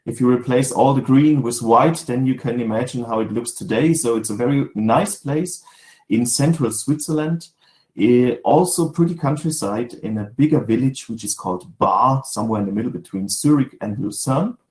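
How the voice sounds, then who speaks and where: A German-accented man presents a webinar over a video call from his home office. His voice sits at a middle pitch, and he halts often on fillers and restarts. The room around him rings with echo. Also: moderate pace, a little mellow. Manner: hesitant, many fillers and restarts. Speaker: guest speaker, sales manager.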